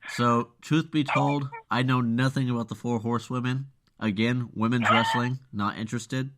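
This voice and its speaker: A podcast host with a cold, sounding nasal and congested, chatting bluntly and offhand. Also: male voice, mid-range voice, fairly even intonation, normal speed, fairly bright tone. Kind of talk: casual chat.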